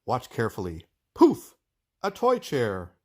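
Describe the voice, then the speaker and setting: An eager, easily flustered male inventor from a children's storybook, acted out by a man reading aloud. His pitch jumps about in a lively way. He hesitates and mutters, then bursts out with excitement. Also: measured pace. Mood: excited.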